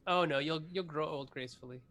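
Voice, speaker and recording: Man in his forties, bright tense voice, enthusiastic, rambling, filler-heavy, game designer guest. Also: a little high, quick pace. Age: 40s. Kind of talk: casual interview chat.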